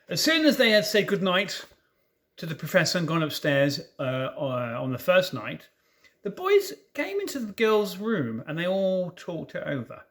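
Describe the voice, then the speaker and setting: A man reading a children's story aloud in an expressive way, with informal asides to listeners. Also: sits high, a little slow. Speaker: storybook reader.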